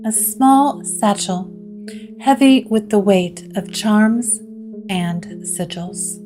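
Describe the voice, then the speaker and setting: A woman narrator reading scripted gothic horror in a measured way, with dramatic pauses. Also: mid-range voice, mellow, faint music behind.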